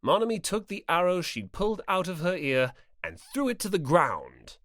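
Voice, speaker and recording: Expressive woman narrator, very varied pitch. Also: normal speed, no room sound, clean studio sound. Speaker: audiobook narrator. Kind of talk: scripted story narration.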